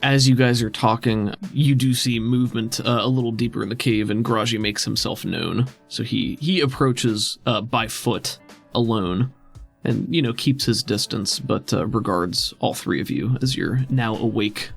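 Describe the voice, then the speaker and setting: A man acting as game master, narrating and role-playing casually with an easygoing, halting delivery. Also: mid-range voice, vocal fry, soft background music.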